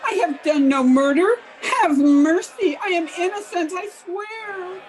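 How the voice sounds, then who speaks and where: A woman's voice: very high voice, measured pace, low-quality recording. A woman playing a condemned woman swearing vengeance from beyond death, wailing and declaiming in archaic old-time radio horror style. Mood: desperate pleading. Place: at home, remote session. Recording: home remote recording with clear background noise.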